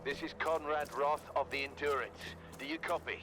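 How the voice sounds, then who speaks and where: A man's voice: on the high side, fairly even intonation, normal speed, background noise, natural voice. An actor playing a protective older male mentor who gives urgent guidance in a video game. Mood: steady reassurance. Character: Conrad Roth, gruff protective older mentor over radio. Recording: loud game music behind. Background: loud music.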